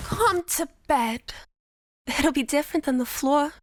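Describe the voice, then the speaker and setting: A woman's voice: high-pitched, animated, a little slow, no room sound, studio quality. A woman playing an intimate, coaxing young lover in a science fiction audio drama. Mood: soft, coaxing.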